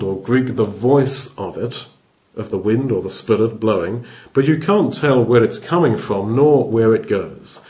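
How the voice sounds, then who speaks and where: A man's voice: mid-range voice, darkish tone. A man giving expository Bible teaching, thoughtful, often restarting phrases as he explains verses word by word.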